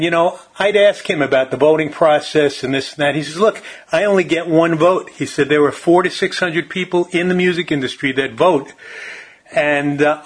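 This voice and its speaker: Male radio host, friendly and encouraging, slightly high voice. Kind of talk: radio interview.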